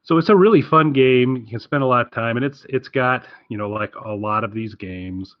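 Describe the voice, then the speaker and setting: A man's voice: mid-range voice, normal speed, no echo, lo-fi sound. A man chatting casually and enthusiastically as a podcast co-host, rambling through a game recommendation.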